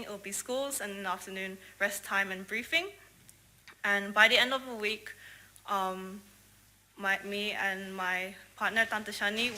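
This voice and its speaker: Young woman from australia, super bright voice, earnest church testimony, lots of ums. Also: mid-range voice, a little hiss or hum, poor audio. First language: English. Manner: earnest, with frequent "um" and "like" fillers. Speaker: church member sharing a mission trip testimony. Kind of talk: prepared testimony talk to a congregation.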